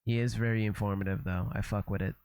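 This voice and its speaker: A man's voice: fairly low pitch, fairly even intonation, fast talker, darkish tone, clear, resonant tone. A young man from Canada chatting casually and loosely on a livestream, swearing, repeating himself and trailing off as he reacts to a video.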